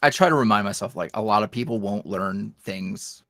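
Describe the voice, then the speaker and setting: A man's voice: on the low side, fairly quick, fairly bright tone, no echo, studio quality. A man chatting casually as a podcast guest, in a folksy, self-deprecating and jokey manner. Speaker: interview guest, online audio roleplay creator.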